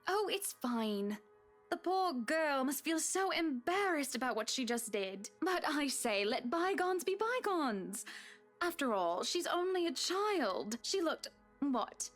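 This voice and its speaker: A woman's voice: high-pitched, very animated, slow, soft background music, heavily acted. An actress playing a wealthy, haughty married society woman with a genteel, condescending way of talking in a visual novel. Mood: sweetly condescending.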